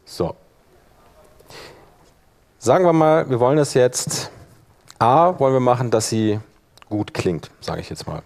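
A man's voice: mid-range voice, measured pace, vocal fry, pauses often, a little mellow. Male workshop presenter, colloquial, talks through each step as he demonstrates, thinking aloud. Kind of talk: informal software tutorial, demonstrating step by step.

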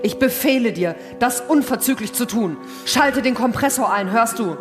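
A man's voice: very high voice, lively intonation, normal speed. Man as sardonic desperate astronaut, urgent, demanding, long reverb, music behind, radio play. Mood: urgent, sarcastic. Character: tense, sarcastic astronaut fearing for his life. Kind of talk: science fiction radio play reading. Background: music and sound effects.

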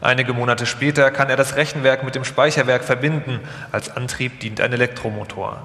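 Male documentary narrator, forceful bright tone, live delivery in a reverberant hall. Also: mid-range voice, steady tone, a little fast. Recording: echoey hall with long reverb.

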